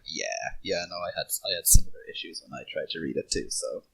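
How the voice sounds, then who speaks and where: Male podcast panelist, low and rough-edged but bright voice, rambling casual fan talk. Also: quick pace.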